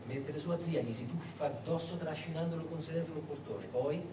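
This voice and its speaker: A man narrating in dramatic theatrical style, deliberate and emphatic, with dramatic pauses. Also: a little high. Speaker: stage narrator and actor. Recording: echoey, noisy recording from far off.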